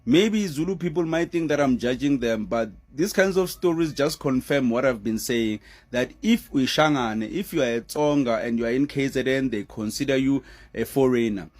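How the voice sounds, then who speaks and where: A man giving casual, animated commentary on a news story, laughing often and using many fillers. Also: mid-range voice, moderate pace.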